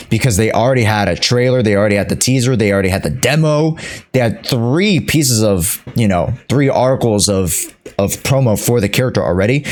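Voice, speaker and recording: A man casually chatting as a game streamer over gameplay, slangy and teasing. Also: lowish voice.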